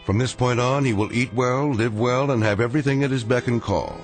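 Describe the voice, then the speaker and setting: A man acting as the grave, all-knowing narrator of an eerie radio drama, measured and portentous. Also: mid-range voice, natural voice. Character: grave omniscient male narrator of eerie tales. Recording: prominent music behind narration.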